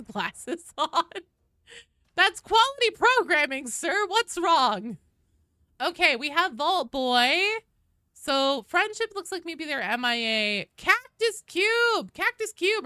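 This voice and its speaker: Bright, animated woman streaming games. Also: sits high, no room sound, clean studio sound. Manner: playful, joking, sweary. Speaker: streamer hosting a game livestream with viewers. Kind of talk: casual livestream chat while gaming.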